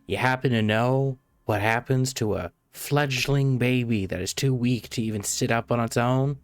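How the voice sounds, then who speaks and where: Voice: medium pitch, normal speed, no room sound. A game streamer giving casual live commentary in a sweary, exasperated, argumentative manner.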